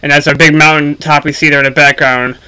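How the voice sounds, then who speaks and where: A man giving casual, unscripted gaming commentary for a video, speaking haltingly with frequent ums and pauses. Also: a little high, flat delivery, bright, forceful tone, clear, resonant tone, low-quality recording.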